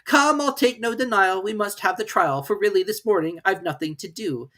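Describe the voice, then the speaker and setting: A woman's voice: mid-range voice, normal speed, fairly bright tone, voice pushed up, natural voice. A woman voicing a cunning, bullying cur, reciting storybook verse in a domineering, declamatory manner.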